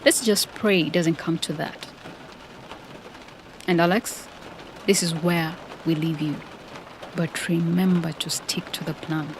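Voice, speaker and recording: A woman's voice: lowish voice, steady tone, slow pace, pauses often, noisy background. A woman playing a cool, commanding leader of a covert mission in an audio drama. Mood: commanding, grim. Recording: music, rain and vehicle sound behind.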